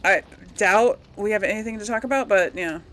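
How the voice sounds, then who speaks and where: A woman's voice: medium pitch, fairly quick, a bit bright. A woman hosting a casual let's play, talking to viewers in a rambling, hesitant, giggly way. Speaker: gaming video host. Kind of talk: casual let's play commentary with role-played replies.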